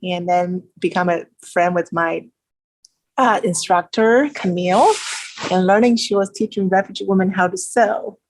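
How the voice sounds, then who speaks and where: Lower-pitched middle-aged woman, Taiwanese accent. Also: rough recording. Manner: halting, non-native phrasing. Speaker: interview guest, nonprofit founder. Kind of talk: conversational personal storytelling.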